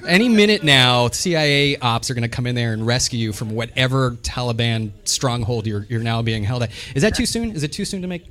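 A man's voice: mid-range voice, expressive, a little fast, few pauses, fairly bright tone. A man hosting a podcast in casual, joking talk, sarcastic and teasing, with some swearing. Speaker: podcast host.

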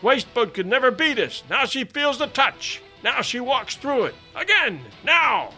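A man's voice: very high voice, big pitch swings, measured pace, pushed and bright, faint music behind, pitched above the performer's own voice, exaggerated voice. A man voicing an eager whaleboat officer who urges on his rowers in exclamatory bursts, read as a storybook. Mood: exhilarated urging. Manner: exclamatory, urging, breathless short bursts. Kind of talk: dramatised storybook reading.

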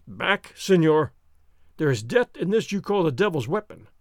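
A cool, self-assured masked swordsman, as a man voices him in a storybook reading. He speaks in calm, commanding tones with a mocking edge and a lively, rising-and-falling delivery. The voice is dry and close. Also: on the high side, normal speed, dry recording. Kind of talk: audiobook character reading.

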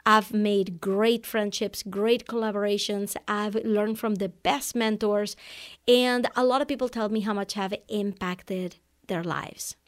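A woman in her forties from Venezuela with a Venezuelan Spanish accent, a native Spanish speaker, hosting a solo podcast as an online business coach in an energetic, chatty way. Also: mid-range voice. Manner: energetic and chatty, quick laughs.

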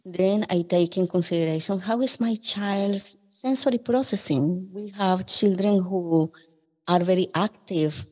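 A woman from Colombia with a Colombian Spanish accent, explaining carefully and at a measured pace as an occupational therapist guest on a podcast. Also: medium pitch, darkish tone, slightly rough, in a large hall.

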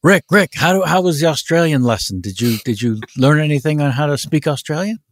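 A man's voice: a little high, expressive, brisk, no echo, studio quality, lightly acted. A man playing an encouraging but needling friend in improvised comedy, casual and coaxing in manner.

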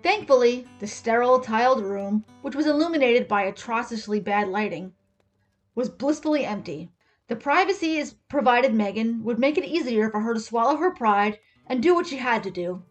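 A woman giving an expressive, scripted reading of a story as its narrator. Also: on the high side, moderate pace. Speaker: story reader. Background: music with guitar.

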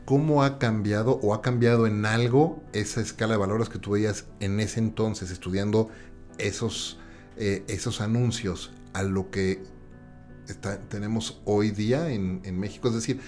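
Low-voiced man asking long questions. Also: steady tone, moderate pace, darkish tone, soft background music. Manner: thoughtful, long winding questions. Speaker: podcast host. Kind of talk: conversational interview.

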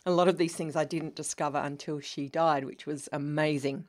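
An Australian woman in her fifties with a slightly low voice talks through her own podcast episode. She speaks in an easy, chatty way, as if sitting down with a listener over coffee. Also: steady tone, normal speed, a little mellow. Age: 50s. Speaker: podcast host. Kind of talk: casual solo podcast monologue.

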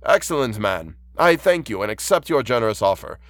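A man's voice: mid-range voice, big pitch swings, fairly quick, no room sound. A man voicing an outcast monster in an audiobook, anguished and pleading, with formal, fervent, eloquent speech. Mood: earnest, hopeful.

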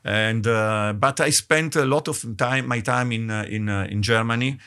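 A middle-aged Italian-accented man answers interview questions in careful, halting English. His voice sits at an ordinary pitch, and he often breaks off and restarts his phrases. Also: fairly bright tone, clear, resonant tone. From Italy. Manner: halting, many restarts, laughs between phrases. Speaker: guest conductor.

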